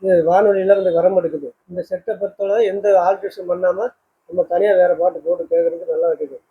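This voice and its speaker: A man with a husky voice, answering casually as a guest in plain words and repeated affirmations. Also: high voice, flat delivery, quick pace. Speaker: customer and guest. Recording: dull lo-fi sound.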